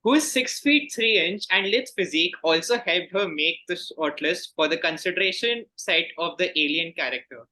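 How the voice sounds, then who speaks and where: A man's voice: sits high, lively intonation, moderate pace, hardly any pauses, bright, forceful tone, low-quality recording. A man reading quiz questions aloud as quizmaster, formal and courteous in manner.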